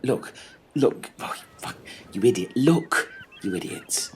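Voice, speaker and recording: A man's voice: mid-range voice, huge pitch swings, slow pace, background noise. A man playing an exasperated, sweary castaway in a comic radio play.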